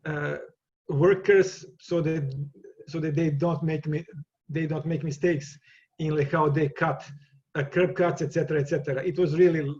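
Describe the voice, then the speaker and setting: A man with a Serbian accent, answering interview questions in a loose, halting way, circling back and repeating words as he goes. His voice sits a bit high for a man and comes through dull and lo-fi. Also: fairly even intonation, a little mellow. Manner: halting, many repeated words and restarts, laughs easily. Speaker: guest. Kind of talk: casual interview conversation.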